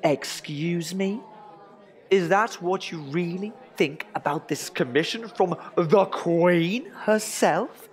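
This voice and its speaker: A man playing an anxious, exasperated Tudor court lyricist in a comic audio drama, with theatrical, drawn-out emphasis. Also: high-pitched.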